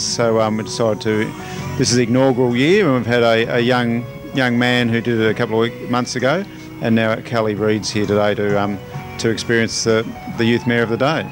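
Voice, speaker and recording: A man with an Australian accent answering as a local mayor in an interview, halting and repeating words. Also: medium pitch.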